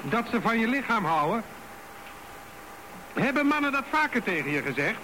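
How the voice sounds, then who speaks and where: A man's voice: very high voice, big pitch swings, normal speed, pushed and bright, full character voice. An actor playing a jealous, suspicious husband in his fifties in a radio drama, probing and insinuating. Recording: noisy radio broadcast recording.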